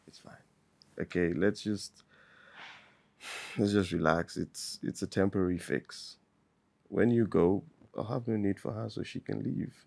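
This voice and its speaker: An actor playing a tired young husband who tries to calm his wife and avoid a fight. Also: sits low, a little slow, gravelly, pauses often, dry recording, heavily acted. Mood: placating. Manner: weary, placating. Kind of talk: scripted web drama.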